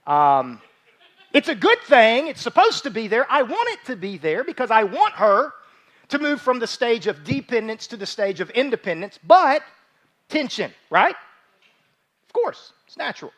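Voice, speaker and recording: This is a man in his forties with a notably high-pitched voice, preaching to his church. He teaches in an informal, conversational way, with a folksy manner. He often repeats a phrase to drive it home. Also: very animated, normal speed, a bit bright. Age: 40s. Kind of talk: conversational sermon with joking asides. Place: church service, speaking live from a stage.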